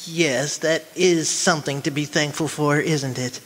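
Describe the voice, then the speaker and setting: A man playing a meek, henpecked little husband, timid and prim with drawn-out words, in old-time radio comedy style. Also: on the high side, fairly even intonation, a bit bright. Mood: wistful, chuckling.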